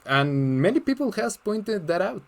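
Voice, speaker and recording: A man's voice: sits high, big pitch swings, normal speed, creaky. A man whose first language is Spanish, with a Spanish accent, interviewing in English in a friendly, slightly nervous way. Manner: friendly, nervous, laughs often. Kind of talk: casual interview.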